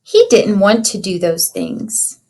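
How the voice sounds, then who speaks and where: A woman's voice: medium pitch, very animated, slow pace, darkish tone, a little hiss or hum. A woman reading a children's storybook aloud like a teacher, explaining things simply and checking in with young listeners.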